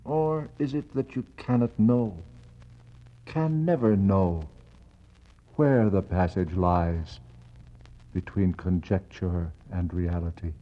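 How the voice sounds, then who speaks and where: An actor playing a brooding, mournful explorer, speaking poetic lines gravely and rhetorically in a 1960s radio verse drama. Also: male voice, low voice, slow pace, long pauses. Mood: mournful, questioning. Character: brooding male Arctic explorer, reflective and mournful. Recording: muffled 1960s radio recording.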